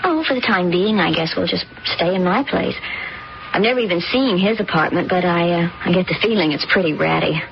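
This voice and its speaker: A woman's voice: fairly low pitch, fairly quick, creaky. An actress playing a stubborn, wistful young woman in a radio drama. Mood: earnest. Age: young adult. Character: stubborn, wistful young daughter resenting her stepmother. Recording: dull, noisy old radio recording.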